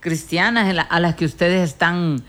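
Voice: mid-range voice, normal speed, fairly bright tone. Probing, challenging interviewer. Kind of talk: interview questions.

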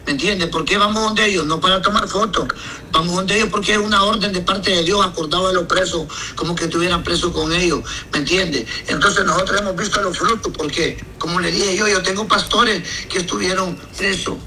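A pastor with a high, strikingly bright voice, speaking in an interview. He talks emphatically and keeps checking that he's understood. A faint hiss of noise sits under the recording. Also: fairly quick, poor audio. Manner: emphatic, repeats "me entiende". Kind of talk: interview answers.

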